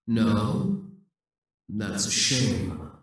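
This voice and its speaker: Man voicing a slow, grandiose demon king. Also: lowish voice, heavy reverb, distant mic. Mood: mildly disappointed. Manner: grandiose, deliberate, coaxing and menacing. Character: dominant, seductive male demon king, proud conqueror. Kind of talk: ASMR roleplay monologue.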